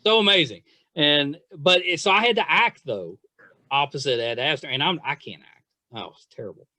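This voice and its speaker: A man's voice: on the high side, animated, moderate pace, pushed and bright, no room sound. A man hosting a casual interview podcast, chatting in an effusive, rambling, self-deprecating way. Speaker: podcast host.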